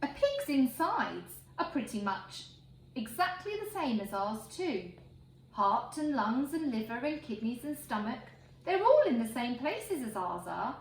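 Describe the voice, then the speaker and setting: A woman reading a picture book aloud to children in an expressive, emphatic way. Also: on the high side, a little slow. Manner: expressive, emphatic phrasing for young listeners. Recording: roomy echo, faint background noise.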